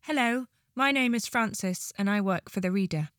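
A woman reciting poetry in a slow, measured delivery. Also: mid-range voice, fairly bright tone, no room sound, clean studio sound. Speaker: poetry reader, charity staff.

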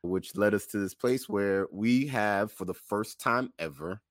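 A man's voice: fairly low pitch, measured pace, dry recording, studio quality. A man co-hosting a podcast in casual banter, with an emphatic, mock-incredulous delivery. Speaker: podcast co-host.

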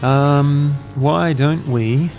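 A man's voice: a little high, extremely slow, darkish tone. Scratchy-voiced hungover guy on a games podcast, dry teasing, faint music behind. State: hoarse, a bit hungover. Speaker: podcast co-host. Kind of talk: casual chat about games.

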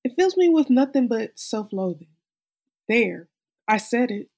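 Woman acting a slow-spoken, self-loathing actress. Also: fairly high pitch, sing-song, a little mellow, heavily acted. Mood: bitter, self-mocking. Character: self-loathing actress confessing her stage fright, anxious and exasperated. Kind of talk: dramatic monologue reading.

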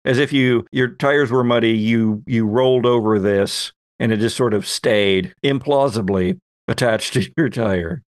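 A man runs a tabletop roleplaying game as its game master. He narrates scenes off the cuff, haltingly, in a mid-pitched voice with a close, dry sound. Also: no room sound.

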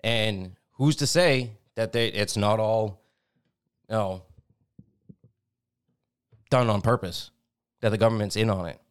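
A man co-hosting a podcast, reading a news article aloud haltingly, stumbling and restarting words, then adding indignant commentary. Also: fairly low pitch, smooth. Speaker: podcast co-host.

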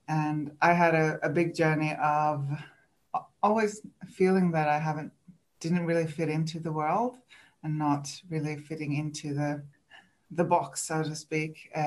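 A woman from Sweden with a Swedish accent, speaking English as a second language as a podcast guest. She talks reflectively and haltingly, with restarts and small laughs. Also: deep voice. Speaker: guest, coach. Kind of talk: interview.

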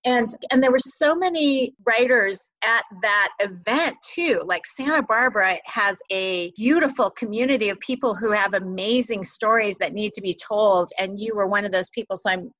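A woman's voice: mid-range voice, moderate pace, few pauses, fairly bright tone, no echo. A woman hosting an interview in an enthusiastic, gushing way, laughing easily. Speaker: podcast host. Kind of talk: casual interview chat. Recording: dull, dry lo-fi sound.

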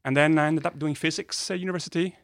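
A man with an Italian accent, a native Italian speaker, explaining science in a conversational interview with enthusiasm and pauses between phrases. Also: a little high, steady tone, a bit bright, dry recording, studio quality. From northern Italy. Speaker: guest physicist. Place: podcast studio.

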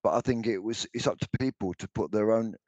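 An older man with a deep baritone voice, answering interview questions about his songs, hesitant with many restarts. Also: fast, darkish tone, no echo, lo-fi sound. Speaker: musician guest.

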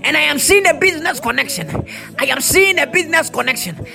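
A hoarse preacher with a very high, bright, piercing voice, crackling with rough fry as he strains. He declares in fervent, repeated bursts, and soft music plays behind him. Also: lively intonation, a little fast. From Ghana. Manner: fervent, repetitive, shouted declarations. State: hoarse, losing his voice. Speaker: prophet preacher. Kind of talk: prophetic sermon with prayer.

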